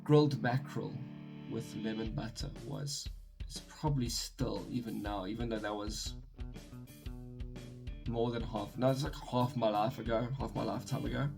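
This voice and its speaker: A young South African man talking in a relaxed, rambling way, often stopping to correct himself mid-sentence. His voice sits at an ordinary middle pitch. A guitar track plays clearly behind him. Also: moderate pace. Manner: rambling, self-correcting, chatty. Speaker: vlogger. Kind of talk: casual talk to camera. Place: his bedroom.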